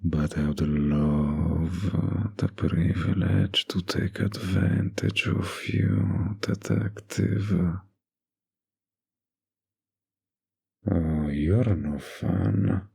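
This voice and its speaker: A man playing a smug, dominant mafia boss in an intimate ASMR roleplay, with a gravelly, husky voice and a suggestive, deliberate drawl. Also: rumbling low, mellow, full character voice. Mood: flirtatious. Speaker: roleplay voice actor.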